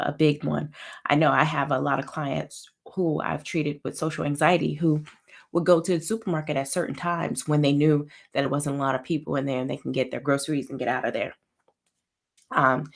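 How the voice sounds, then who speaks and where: Woman clinician host, lowish voice, stuffy from a cold, chatty live-stream delivery. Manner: chatty, warm greetings to viewers by name, many ums. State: head cold, a little nasal. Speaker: therapist hosting a weekly live show. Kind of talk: casual live-stream talk.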